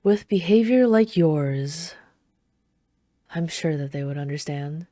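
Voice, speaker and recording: A woman acts out a reserved knight in a fantasy ASMR roleplay. Her voice is deep and velvety, recorded close and dry, with formal, wry restraint. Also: expressive, slow pace, mellow, no echo. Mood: wry, restrained. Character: reserved, dutiful female knight, dryly scolding royal lover.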